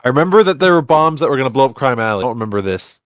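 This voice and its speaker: A man's voice: mid-range voice, animated, rapid-fire, clean tone, no room sound, clean studio sound. A man chatting casually on a podcast panel, opinionated and drily joking.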